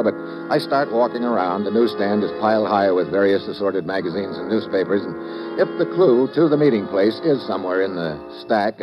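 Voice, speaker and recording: Man playing a low-voiced, wry American investigator. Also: lightly acted. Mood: narrating, dogged. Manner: wry, slangy, hard-boiled. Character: wry, dogged male American investigator narrating his case. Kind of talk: old-time radio drama acting and narration. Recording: muffled, hissy old radio recording.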